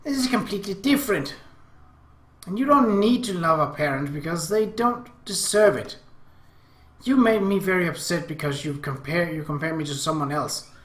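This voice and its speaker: A man's voice: expressive, a little fast, sharp tone, reverberant, exaggerated voice. A man voicing a whiny, clingy little anime sister in a dramatic, girlish voice, reading from a visual novel. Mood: hurt, sulky. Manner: sulky, dramatic, high put-on girlish voice.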